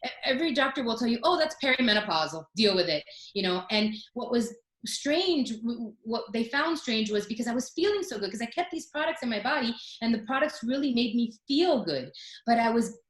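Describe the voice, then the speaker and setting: A woman's voice: on the high side, expressive, brisk, roomy sound. A woman in her forties telling her story as a guest in a casual interview, chatty, with many fillers and restarts. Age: 40s.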